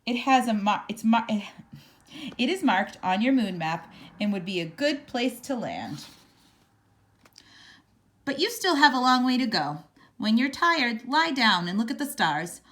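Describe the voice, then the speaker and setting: A woman reading a children's picture book aloud in a measured, expressive storytelling manner. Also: a little high. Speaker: storybook reader.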